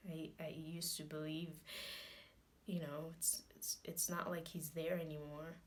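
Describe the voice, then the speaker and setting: A woman performing a dramatic monologue as a guarded, hot-headed grieving daughter, talking colloquially and haltingly, with repeated phrases. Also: sits low, little pitch movement, slight background noise. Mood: subdued, pained.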